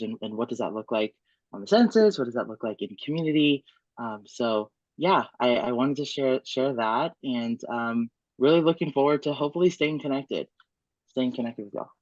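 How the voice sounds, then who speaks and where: A man from the United States talks on a video panel call in a middle pitch, stopping often with "um" and restarting his sentences. The call audio is dull and muffled and has a dry, close sound with no room echo. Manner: halting, many "um"s and restarts. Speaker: community organizer, panelist.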